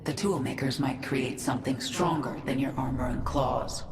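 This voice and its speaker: A woman's voice: a bass voice, normal speed, background noise. A woman playing a vengeful, commanding queen of an alien swarm in a video game, imperious and decisive. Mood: probing. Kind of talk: video game cinematic acting. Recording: echo effect, loud music behind.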